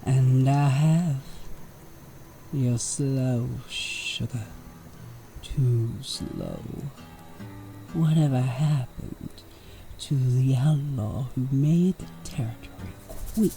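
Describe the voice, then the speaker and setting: A man's voice: mid-range voice, drawn-out words, music in the background, rough recording. A man playing a menacing snake-like outlaw villain in a Western audio roleplay, with an American Southern drawl and mock-sweet taunts. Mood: taunting. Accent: American Southern drawl, Western style.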